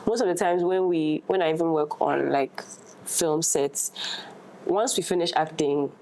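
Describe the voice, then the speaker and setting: A woman's voice: low-pitched, a little slow, darkish tone, low-quality recording. A woman talking casually as an actress, repeating words for emphasis. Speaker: actress in discussion. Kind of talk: casual unscripted conversation.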